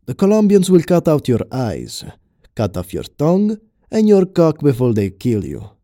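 A menacing male gangster played by an actor in a crime audio drama. His tone is deep-shaded and velvety, with very animated pitch. He taunts and persuades, dropping in Spanish words, recorded close and dry. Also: moderate pace, no room sound.

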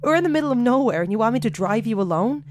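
A woman's voice: a little high, expressive, rapid-fire, a little mellow, voice pushed up, close to an everyday voice. A woman voicing a nervous, incredulous rideshare driver with a put-on generic American accent, in a spoken scary story. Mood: incredulous, protesting.

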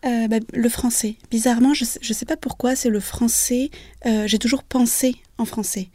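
Soft-voiced young woman, hesitant. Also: on the high side, steady tone, normal speed, dry recording. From Vietnam. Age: 20s. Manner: hesitant, many fillers and restarts. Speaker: author interviewed. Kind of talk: radio interview.

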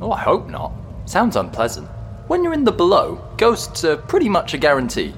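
A man's voice: high voice, wildly swooping pitch, moderate pace, fairly bright tone, slight background noise, heavily acted. A man playing a polite, slightly nervous and friendly ghost in an audio drama, chatty and understated.

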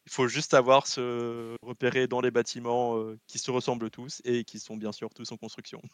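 A man's voice: mid-range voice, moderate pace, clean tone, dry recording. Hesitant young man from French Guiana. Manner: relaxed, very hesitant with constant fillers, laughs often. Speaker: guest.

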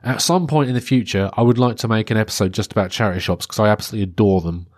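A man's voice: on the low side, racing, dry recording, clean studio sound. Congested man rambling on a podcast. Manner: rambling and chatty, many restarts and fillers. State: stuffy-nosed, congested. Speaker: podcast host. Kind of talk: solo podcast monologue.

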